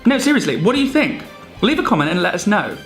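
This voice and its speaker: A man's voice: high-pitched, big pitch swings, fast, fairly bright tone, soft background music. A man co-presenting a scripted explainer with comic banter, in a jokey, theatrical manner. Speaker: video co-presenter.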